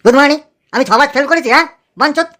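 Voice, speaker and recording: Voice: high-pitched, racing, bright, forceful tone, faint music behind. A performer voicing a cheeky, whiny, foul-mouthed village boy in a comedy cartoon, with a West Bengal Bengali accent. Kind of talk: amateur comedy cartoon dubbing.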